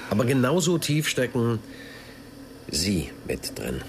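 A man's voice: medium pitch, huge pitch swings, takes it slowly, noisy background, heavily acted. An actor playing a slick, evasive and defiant corporate spokesman in a radio crime drama, talking in rhetorical officialese. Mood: theatrical, provocative. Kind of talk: naturalistic German radio drama acting.

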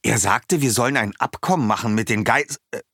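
A man voicing an earnest, anxious young villager who explains things eagerly, with a husky voice. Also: mid-range voice, a little fast, a bit bright, no room sound, studio quality, voice pushed up.

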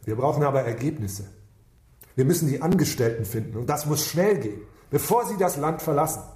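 An actor playing an impatient, sarcastic police detective in charge in a radio drama, brusque and commanding. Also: male voice, a little high, animated, brisk, a little mellow, echoey room, lightly acted.

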